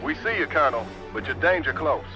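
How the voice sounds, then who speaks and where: Actor as fast, animated, brusque military commander. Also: male voice, a little high, natural voice, poor audio. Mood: warning. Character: irritated, brusque commander of a military aircraft carrier. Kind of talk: video game action acting. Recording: very loud music over voice.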